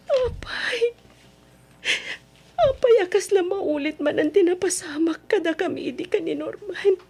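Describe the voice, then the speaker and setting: A woman's voice: very high voice, warm tone, heavily acted. A woman with an Ilocano Filipino accent acting a heartbroken, betrayed young woman in an old-time radio drama, tearful and plaintive. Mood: anguished, weeping.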